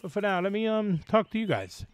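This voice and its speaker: A man's voice: high-pitched, sing-song, fairly quick. A man hosting casually, reading comic fan fiction and commenting over a children's video game, in informal language. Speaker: host of a gaming channel. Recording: clearly noisy, game audio underneath. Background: children's video game sounds.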